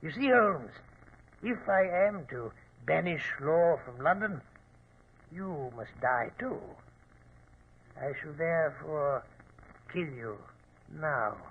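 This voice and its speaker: An actor playing a theatrical, menacing criminal mastermind who savors each phrase in 1940s radio drama style. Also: male voice, on the high side. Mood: menacing, gloating. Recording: tinny, noisy old radio recording.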